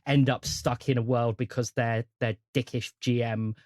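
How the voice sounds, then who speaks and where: A man's voice: mid-range voice, level tone, moderate pace, a little mellow, no echo, clean studio sound. A man in casual podcast chat, talking in an enthusiastic, rambling way. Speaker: podcast co-host.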